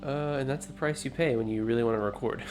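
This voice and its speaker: A man hosting a let's play, thinking aloud in an unhurried, musing way, his voice low and groggy from just waking up. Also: over music. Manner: musing, unhurried, self-talking. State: low morning voice, just woken up. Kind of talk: thinking-aloud gameplay commentary.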